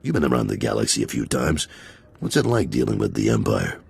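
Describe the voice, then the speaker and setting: Game role: gruff middle-aged crime lieutenant. Rock-bottom pitch, heavy gravel, businesslike. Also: male voice, wildly swooping pitch, brisk, full character voice. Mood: brisk, confiding. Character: gruff, world-weary male gangster boss, middle-aged father. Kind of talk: video game voice acting.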